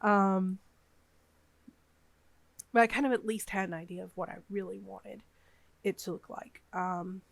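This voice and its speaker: Vlogger woman, 30s, sniffly from a cold, slow and meandering, dry close sound. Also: mid-range voice, fairly even intonation, vocal fry, dry recording. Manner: rambling, hesitant, lots of fillers. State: has a cold, a bit sniffly. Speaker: gaming YouTuber. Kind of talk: casual voiceover over a speedbuild.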